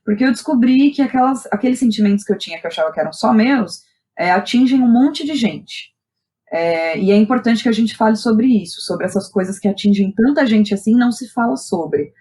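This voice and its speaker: A woman's voice: on the high side, animated, fairly quick, mellow. A Brazilian woman, a podcast guest, telling personal stories in a candid, informal way with plenty of slang and tag questions. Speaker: guest, playwright and director. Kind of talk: casual personal storytelling in a podcast interview.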